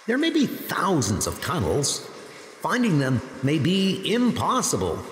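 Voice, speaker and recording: Man as cool, measured adventure hero. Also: fairly high pitch, long reverb tail, slight background noise. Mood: cool, practical. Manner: calm, measured, heroic. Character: cool, confident adult male adventurer in post-apocalyptic world. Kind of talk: comic dub character acting. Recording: music under the voice.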